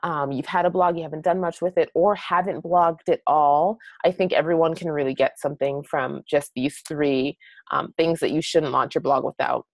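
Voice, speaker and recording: A woman's voice: deep voice, steady tone, moderate pace, dry recording. An American woman in her late twenties giving a casual webinar presentation in a chatty, encouraging way. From New Hampshire, United States. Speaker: guest presenter, blog strategist.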